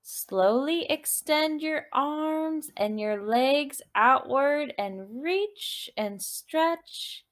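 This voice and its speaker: A woman teacher reading a children's movement story, expressive and deliberate, prompting listeners with questions and repeated words. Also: fairly high pitch.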